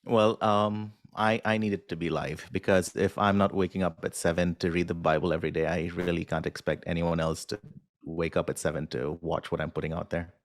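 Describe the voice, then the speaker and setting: A man's voice: low-pitched, little pitch movement, fairly quick. A man answering as an interview guest in a casual, unassuming, self-deprecating way, with hesitant phrasing.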